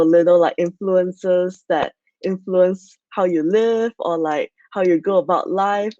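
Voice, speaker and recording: A young woman from Malaysia presents at an online conference in a loose, chatty way, leaning on "so yeah" and "like". Her voice sits a little low. The audio is dry and a bit muffled, as from a computer mic on a video call. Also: dark tone, no room sound. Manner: chatty, many fillers like "like" and "so yeah". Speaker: conference speaker.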